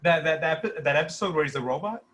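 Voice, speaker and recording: A man's voice: on the high side, quick pace, fairly bright tone. A man talking casually and explaining things as a writer and producer on a video call. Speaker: writer and producer of a short film.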